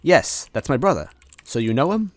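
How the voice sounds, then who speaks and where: A man's voice: mid-range voice, very animated, moderate pace, dry recording, soft background music, voice pushed up, close to an everyday voice. A man voicing a kind, anxious story hero looking for his brother, hesitant and earnest. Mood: anxious, urgent.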